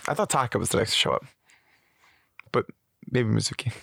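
A man co-hosting a casual podcast, excitable and quick to laugh, his voice leaping up when he is surprised. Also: creaky, breathy edge, no echo, studio quality. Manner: excitable, jumps high in pitch when surprised, laughs often. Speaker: podcast co-host. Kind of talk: casual chat recapping a reality show.

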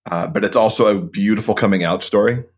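A man's voice: lowish voice, quick pace, slightly rough. A man as an interview guest, talking about books in an earnest, enthusiastic, casual way. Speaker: podcast co-host, guest.

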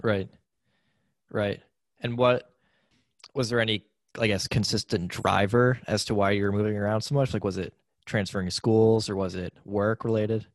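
A man's voice: on the low side, steady tone, moderate pace, dry recording, clean studio sound. A man hosting an interview, asking casual questions with lots of hesitation and fillers. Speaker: podcast host interviewer.

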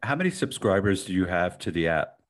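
A man's voice: sits low, sing-song, fairly quick. A man co-hosting a casual interview, chiming in with short remarks and questions. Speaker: podcast co-host.

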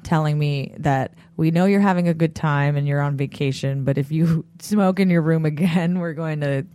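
A woman co-hosting a podcast, telling stories in a laid-back way with a slightly raspy voice and frequent laughter. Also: deep voice, moderate pace, dark tone. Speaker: podcast co-host. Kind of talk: casual chat.

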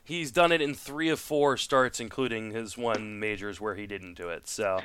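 Clear-voiced man in casual sports talk. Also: fairly low pitch, normal speed, fairly bright tone, dry recording. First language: French. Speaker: podcast host. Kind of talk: casual sports talk, fantasy baseball discussion.

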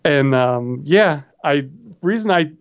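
A man's voice: a little high, lively intonation, slow, clear, resonant tone, highs cut off. A man in his forties co-hosting a podcast, chatting casually and rambling through memories. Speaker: podcast co-host. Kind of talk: casual movie chat.

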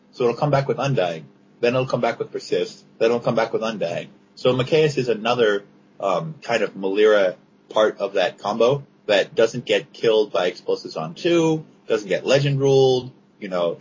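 A man who is a guest judge on a podcast, explaining game rules step by step in casual chat. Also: medium pitch. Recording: dull lo-fi sound with faint noise.

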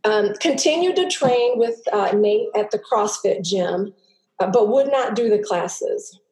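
A middle-aged woman, a native Greek speaker, telling her personal story as a podcast guest in an earnest, halting way with many restarts. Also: medium pitch, lively intonation, a little mellow, faint music behind. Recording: roomy echo.

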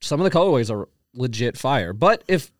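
A man's voice: medium pitch, very animated, a little fast, no echo, clean studio sound. A man co-hosting a podcast, chatting casually and agreeably with slang. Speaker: podcast co-host.

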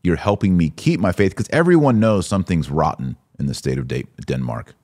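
A man's voice: sits low, lively intonation, a little fast, a little mellow. A man hosting a podcast, giving opinionated religious commentary in an emphatic, exhorting manner with rhetorical questions. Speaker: podcast host.

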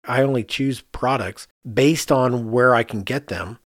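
A man's voice: mid-range voice, measured pace, no room sound, studio quality. A man with an American accent co-hosting a casual discussion, explaining things and thinking aloud as he goes. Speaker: podcast co-host and architect. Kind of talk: casual conversational discussion of architecture.

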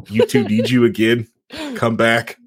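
A man with a husky voice hosting a casual podcast, bantering in a loose, jokey way and repeating words for emphasis. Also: medium pitch, flat delivery, measured pace. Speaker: podcast host.

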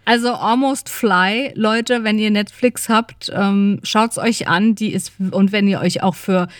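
A woman's voice: medium pitch, measured pace, dry recording, studio quality. A middle-aged woman co-hosting a podcast, chatting casually in an informal, enthusiastic manner. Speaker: podcast co-host. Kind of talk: casual chat.

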